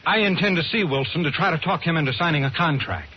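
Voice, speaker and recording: An American actor playing a stubborn, hard-boiled talent agent in an old-time radio drama, dramatic and tough-talking. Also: male voice, on the high side, quick pace, a little hiss or hum, close to an everyday voice. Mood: insistent, skeptical. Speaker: radio drama lead and narrator. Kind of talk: old-time radio drama acting and first-person narration. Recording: muffled vintage radio with music.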